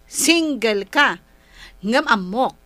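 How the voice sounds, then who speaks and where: A nosy Filipino older sister in a radio drama comedy. Her very high, lively voice probes and teases suspiciously, in slow, drawn-out phrases. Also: dry recording, faint music behind, heavily acted. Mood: suspicious, teasing. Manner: probing, teasing.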